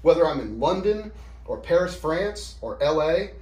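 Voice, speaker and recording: Man in his thirties, slightly high voice, informal product demo, conversational, roomy sound from a camera a few feet off. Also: sing-song, a little mellow. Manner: casual, plain-spoken, conversational. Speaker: product demonstrator. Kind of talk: informal whiteboard explainer. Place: small indoor office room. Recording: roomy, echoey quick camera shoot.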